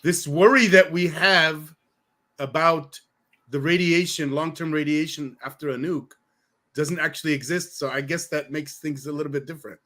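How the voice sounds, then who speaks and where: Male podcast host, fairly high and bright voice; friendly, thinks aloud, leans on "you know". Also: normal speed. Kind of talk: casual conversation.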